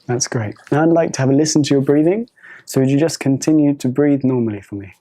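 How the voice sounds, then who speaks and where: A woman doctor examining a patient, giving soft, polite, reassuring instructions. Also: rumbling low, fast talker. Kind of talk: gentle clinical instructions.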